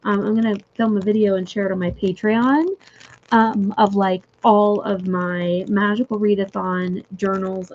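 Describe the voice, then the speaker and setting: A young woman chatting casually with viewers on a livestream from home, giggly and full of fillers, her voice going a little hoarse. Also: medium pitch, a little mellow, lo-fi sound. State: losing her voice. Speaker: livestream host. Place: home room.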